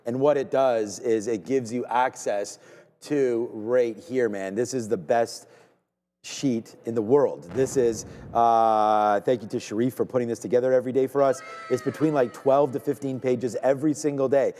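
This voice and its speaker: Mellow-voiced host, rambling pitch. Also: male voice, fairly even intonation, moderate pace, long reverb tail, slight background noise. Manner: loose, salesy, rambling with restarts. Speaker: trading show host. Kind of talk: casual live-stream banter. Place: studio in Toronto.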